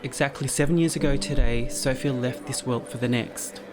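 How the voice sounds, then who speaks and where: A young man from Cambodia, telling his story as a business founder in a soft, earnest documentary narration with frequent pauses. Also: mid-range voice, fairly even intonation, music in the background. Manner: soft-spoken, earnest, pausing with frequent ums. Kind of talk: documentary testimony and reflective narration.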